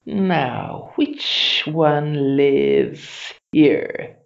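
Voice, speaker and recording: Storybook monster queen, put on by a narrator: vain, sly and scornful, very slow drawn-out delivery with a gravelly creak. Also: mid-range voice, echoey room, highs cut off, exaggerated voice. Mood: hungry, sly. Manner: boastful, drawn-out, snorting and hissing. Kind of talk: children's storybook character voice.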